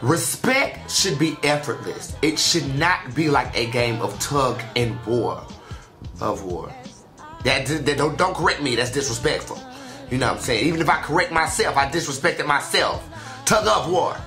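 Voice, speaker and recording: A man hosts a flamboyant radio show with sass and a theatrical, sing-song delivery. His voice is a bit raspy from being sick. He sounds like he is in an echoey room, with music playing clearly behind him. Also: medium pitch, expressive, normal speed, sharp tone. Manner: sassy, theatrical, sing-song, calls people honey. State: slightly raspy from illness. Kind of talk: flamboyant talk radio monologue. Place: radio studio.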